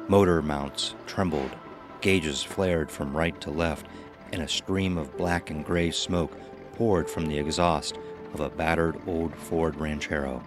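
A man narrating a scripted horror story in a deliberate, dramatic, measured style. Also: rumbling low. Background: music under the voice.

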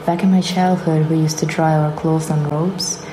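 A woman from Ukraine slowly and deliberately reading a prepared statement about her artwork. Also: low voice, level tone, noisy background. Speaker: exhibiting artist. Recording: noticeable background ambience.

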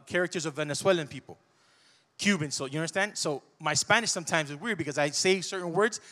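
A man in his forties delivers a church sermon into a handheld microphone. His voice is bright and forceful, and he is set a little high for a man. His delivery is conversational and animated, full of personal asides. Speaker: preacher. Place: church stage. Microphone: handheld mic.

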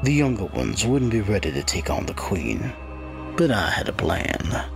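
A man playing a patient, sinister undead wight who gloats as he narrates a dark fantasy audio drama in a gravelly, husky voice. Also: deep voice, wildly swooping pitch, moderate pace, soft background music, heavily acted. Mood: cold, scheming.

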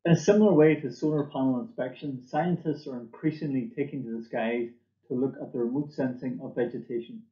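A man giving a scripted product presentation for a company, in a measured, formal manner, recorded at a desk in a small room. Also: mid-range voice, reverberant, dull audio. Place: small indoor office or lab room.

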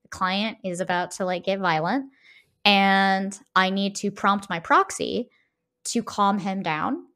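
A woman's voice: mid-range voice, takes it slowly, sharp tone. A woman co-hosting a podcast in casual discussion, often tagging her phrases with a questioning "right". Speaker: podcast co-host. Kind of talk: casual discussion of a video game.

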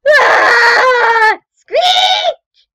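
Woman voicing an excitable, high-pitched cartoon fox girl. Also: heavily acted. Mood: panicked. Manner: exclamatory, drawn-out shouts. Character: excitable young female fox, cheeky and dramatic.

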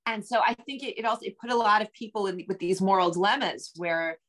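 A woman's voice: mid-range voice, fast talker, a bit bright. A middle-aged woman speaking conversationally as an interview guest, a novelist talking from a room at home over a video call.

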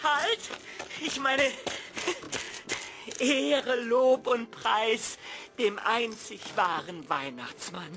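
A woman's voice: fairly high pitch, extremely slow, noisy background. A woman playing a pompous, fawning and callous school director in a theatrical audio-drama style. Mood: fawning, indignant.

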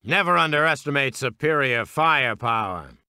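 An actor playing a gung-ho American World War Two heavy weapons soldier in a strategy game, with a clipped military delivery. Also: male voice, mid-range voice, lively intonation, normal speed, pushed and bright, clear, resonant tone, dry recording. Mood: confident, brisk.

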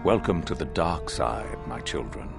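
Sinister old sith master for a game, low voice that rises and falls a lot, pompous speechifying. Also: male voice, expressive, measured pace, vocal fry, over music, exaggerated voice. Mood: solemn commanding. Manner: theatrical, grandiose, condescending oratory. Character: commanding older male Sith master, sinister, solemn and goading. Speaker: academy master addressing recruits. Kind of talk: dramatic scripted video game acting.

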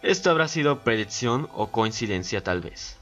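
A male guest narrator with a mid-pitched voice reads scripted commentary for an online video. Music plays faintly behind him. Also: animated, moderate pace, fairly bright tone, lo-fi sound. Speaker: guest collaborator on a YouTube video.

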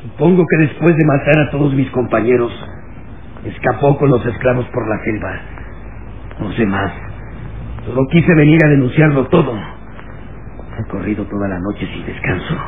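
A man's voice: medium pitch, animated, normal speed, long pauses, natural voice. An actor playing a frightened, agitated male overseer in a theatrical old radio drama. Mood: shaken, spent. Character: frightened, cowardly slave overseer, male henchman. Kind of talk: vintage radio drama acting. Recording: tinny, noisy old radio recording.

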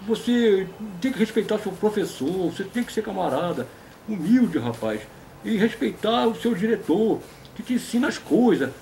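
A man puts on a boastful old grandpa puppet with a very high voice. He is folksy and pompous, lecturing like a veteran of stage and war in a comedy sketch, with faint noise behind. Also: very animated, normal speed, vocal fry, dark tone, exaggerated voice, low-quality recording. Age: older. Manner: pompous, folksy, repeats a stock affirmation. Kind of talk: comic puppet interview.

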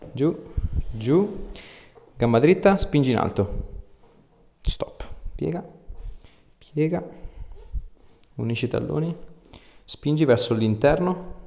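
A man's voice: mid-range voice, warm tone. A man, speaking as a chiropractor in short, direct, reassuring instructions. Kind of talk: hands-on exam instructions and casual explanation. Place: small indoor treatment room. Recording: echoey small treatment room.

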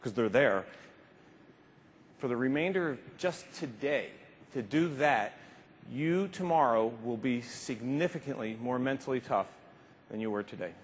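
A man's voice: mid-range voice, lively intonation, a little slow, long pauses, a little hiss or hum. A man in his fifties giving an emphatic, informal motivational keynote speech, repeating phrases for effect. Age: 50s. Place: large conference stage indoors. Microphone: lapel mic. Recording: reverberant large hall, slightly muffled speech.

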